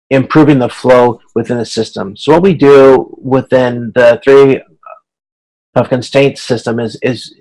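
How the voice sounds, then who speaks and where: Halting male instructor, medium pitch. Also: poor audio. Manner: halting, often restarts and repeats phrases. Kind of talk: informal explanatory lecture over video conference.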